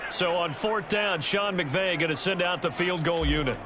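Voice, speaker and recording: A man's voice: high voice, moderate pace, lightly acted. A man playing an American play-by-play football announcer in a video game, with a punchy, polished broadcast delivery. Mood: announcing. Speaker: play-by-play sports commentator. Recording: loud game crowd noise behind.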